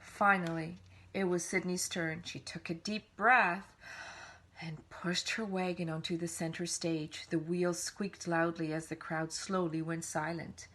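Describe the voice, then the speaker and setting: A woman's voice: lowish voice, measured pace, slight background noise. Woman reading a children's story expressively. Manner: animated, dramatic emphasis for young listeners. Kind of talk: expressive read-aloud storytelling.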